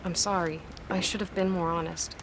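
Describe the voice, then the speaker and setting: Actress as earnest young woman with secrets, lower voice, halting, sorry, ambient noise, audio drama. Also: fairly even intonation, close to an everyday voice. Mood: earnest, hesitant. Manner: hesitant, earnest.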